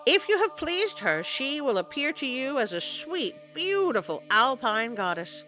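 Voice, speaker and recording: Theatrical storyteller host, really lively pitch, playfully spooky, music playing behind. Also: unhurried, low-quality recording. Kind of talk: scripted narration of holiday folklore for children.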